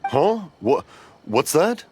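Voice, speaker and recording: A man's voice: on the high side, sing-song, slow pace, darkish tone. A man voicing a jovial, rambling, hard-of-hearing old fireworks craftsman and father in a video game. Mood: confused, cheerful. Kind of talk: video game character dialogue. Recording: clear music behind the voice.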